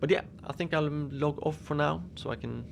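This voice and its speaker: A man playing an easygoing, whimsical lone Antarctic station manager, rambling in a conversational, deadpan audio-fiction monologue. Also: a little high, fairly quick, creaky, slight background noise. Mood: mild, pondering. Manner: musing, conversational, deadpan about absurd things. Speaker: fiction podcast narrator, lone facility manager at a polar station. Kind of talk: first-person audio fiction monologue, rambling radio log.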